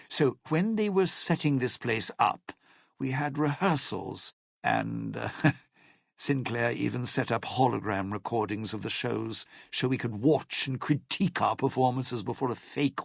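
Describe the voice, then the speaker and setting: An actor playing a cynical, self-serving lounge singer in a video game, with a raspy, gravelly voice and a wry, theatrical, menacing delivery. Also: male voice, mid-range voice, dry recording, studio quality. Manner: wry, theatrical, hesitant muttering turning to threats. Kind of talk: video game character dialogue.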